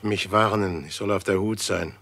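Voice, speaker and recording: An actor playing a calm, understated male detective in an old radio crime drama. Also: on the low side, moderate pace, dry recording. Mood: soothing.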